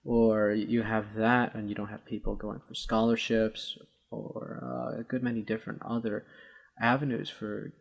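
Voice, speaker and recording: A man with a low, gravelly voice talks through an argument as a show co-host. His delivery is husky and halting, with wordy, somewhat formal phrasing in casual debate. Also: little pitch movement.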